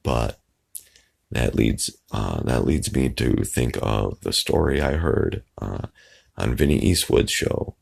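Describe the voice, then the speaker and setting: Deep, gravelly hoarse-voiced man. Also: animated, slow, a little mellow. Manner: meandering, trails off with many fillers. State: hoarse and raspy. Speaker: video blogger. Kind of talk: rambling casual monologue to camera. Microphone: phone microphone.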